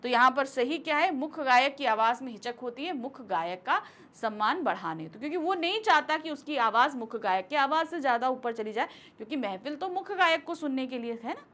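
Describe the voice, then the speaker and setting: A woman's voice: sits high, animated, brisk, hardly any pauses, sharp tone. A woman teacher goes through exam questions, patiently asking and answering her own questions. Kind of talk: exam question walkthrough lesson.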